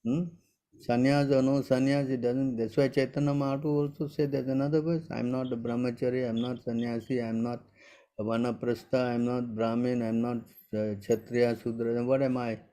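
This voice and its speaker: Mellow-voiced man in his sixties, teaching. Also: mid-range voice, flat delivery, brisk. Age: 60s. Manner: keeps checking if listeners understand. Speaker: spiritual teacher answering questions. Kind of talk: informal devotional Q&A.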